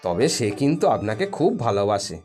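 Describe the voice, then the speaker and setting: A man's voice: fairly low pitch, very animated, a little fast. A man playing a sensible village doctor in a cartoon, matter-of-fact with a dry, teasing manner. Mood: agreeable, reassuring. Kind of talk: animated cartoon dialogue. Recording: music behind the voice.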